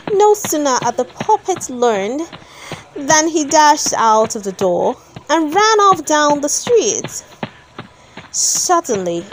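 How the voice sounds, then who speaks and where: A woman reading a children's story aloud in an expressive, deliberate storytelling manner. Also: high voice, a little hiss or hum, low-quality recording. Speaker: storybook reader.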